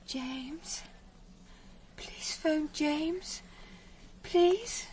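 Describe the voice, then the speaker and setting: Frightened young woman, anguished, pleading, shrill high pitch, slow, roomy echo, radio drama. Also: darkish tone. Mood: shocked, weak. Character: frightened, distraught young woman captive, named Julia.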